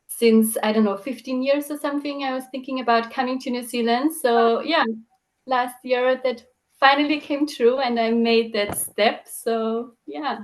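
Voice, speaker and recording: A woman's voice: high voice, fairly even intonation, normal speed. A young woman from Austria with an Austrian accent, chatting as a podcast guest and peppering her speech with fillers. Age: young adult. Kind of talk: casual chat.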